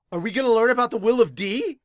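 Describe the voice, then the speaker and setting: A man's voice: very high voice, normal speed, no room sound. A man hosting a podcast, reading manga aloud and giving his reactions to it. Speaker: podcast host and reader. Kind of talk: dramatic manga read-aloud and excited reaction commentary.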